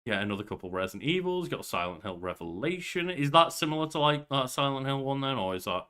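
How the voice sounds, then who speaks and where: A man's voice: medium pitch, big pitch swings, fast, sharp tone, smooth. A young man co-hosting a podcast, chatting casually from a room on a video call. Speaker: podcast co-host. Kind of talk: casual movie chat.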